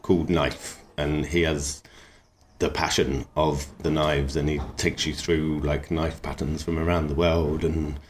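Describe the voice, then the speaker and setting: A man with a very deep voice talks in a relaxed, rambling way, clearly enthusiastic about his work. A faint hiss of noise sits under the recording. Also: unhurried. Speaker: knifemaker and cook. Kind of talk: relaxed interview talk.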